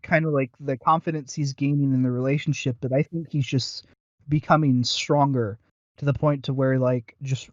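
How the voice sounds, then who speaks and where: Higher-pitched man, dull lo-fi podcast. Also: no echo. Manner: halting, rambling, informal with casual swearing. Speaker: podcast co-host. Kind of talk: casual podcast commentary. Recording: dull lo-fi voice recording.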